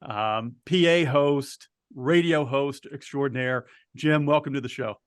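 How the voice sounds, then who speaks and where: A man's voice: medium pitch, unhurried, no room sound, studio quality. An American man hosting an interview podcast in a conversational style.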